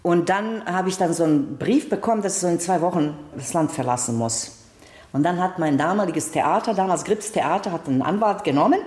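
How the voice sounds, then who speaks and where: Middle-aged woman from ex-yugoslavia, german not her first language, lowish voice, tells stories w lots of restarts, echoey room. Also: fairly quick, darkish tone. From Yugoslavia, grew up in Priboj. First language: Serbo-Croatian. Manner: storytelling, searching for words, restarting sentences. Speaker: interview guest, actress and novelist. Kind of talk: conversational interview. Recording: roomy echo.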